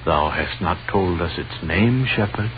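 A man's voice: low-pitched, lively intonation, a little slow. An actor playing a devout travelling wise man in a 1930s radio play, with declamatory biblical phrasing. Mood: assured. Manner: declamatory, archaic biblical speech. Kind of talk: 1930s radio drama acting. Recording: tinny, hissy 1930s radio recording.

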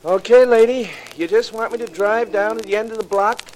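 A high-pitched actor as a male American cab driver, folksy and full of grumbling wisecracks. It is 1940s radio drama with a faint layer of noise over the old recording. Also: a little fast, darkish tone, natural voice, low-quality recording. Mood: puzzled, nosy. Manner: colloquial, folksy.

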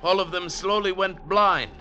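An actor playing a solemn, superstitious mountain guide who speaks formally and gravely, in mid-century radio style. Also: male voice, sits high, moderate pace, sharp tone, lo-fi sound, slight background noise. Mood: solemn, mysterious. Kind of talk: 1940s-50s radio acting. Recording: vintage radio recording with music behind.

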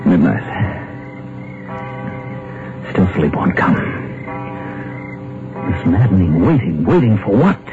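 A terrified husband sure a killer waits outside, speaking in a restless, feverish monologue that swings widely up and down. The old broadcast sounds muffled, with music under it. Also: male voice, low-pitched, very animated, slow pace, long pauses, full character voice. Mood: panicked. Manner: nervous, feverish inner monologue. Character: frightened husband convinced a hired killer stalks him. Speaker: radio drama lead. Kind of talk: 1950s radio drama acting. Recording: dull, hissy old radio broadcast with music.

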